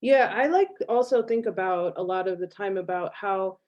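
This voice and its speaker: A woman speaking casually on a video call, animated, laughing often and using lots of fillers. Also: mid-range voice, normal speed. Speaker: guest artist. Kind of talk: casual online conversation. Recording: slightly dull video call audio.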